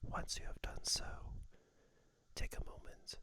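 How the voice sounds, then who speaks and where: This is an ASMR host speaking entirely in a soft, breathy whisper. The delivery is very slow and unhurried, guiding a listener gently through a relaxing meditation and body scan. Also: no room sound. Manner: gentle, soothing, unhurried instruction.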